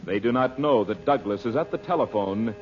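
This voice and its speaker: A man's voice: mid-range voice, a little fast. A man announcing and narrating in a formal, declamatory vintage radio style, with polished, scripted delivery. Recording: muffled old radio broadcast recording. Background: music.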